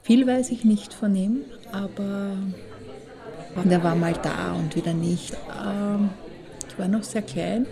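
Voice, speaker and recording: An actress playing a grown daughter who haltingly recalls someone in a naturalistic radio drama monologue. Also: female voice, medium pitch, vocal fry, a crowd in the background. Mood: wistful, hesitant. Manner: hesitant.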